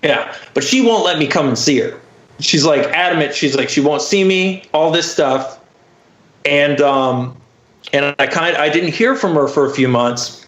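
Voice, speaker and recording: A man's voice: fairly high pitch, unhurried, fairly bright tone. A man telling a personal story casually as a podcast guest, candid and profane, peppered with filler phrases. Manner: candid, profane, frequent "like" and "you know". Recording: roomy, slightly dull muffled audio.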